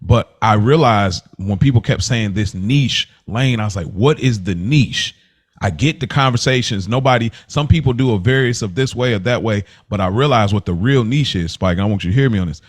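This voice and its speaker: A man's voice: lowish voice, normal speed. A man co-hosting a podcast in casual conversation, reflective and emphatic, checking that listeners follow him. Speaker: podcast co-host.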